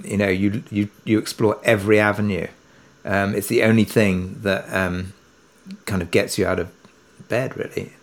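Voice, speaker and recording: A man's voice: fairly low pitch, level tone, moderate pace. A man being interviewed as a writer, answering reflectively in hesitant, tentative phrases.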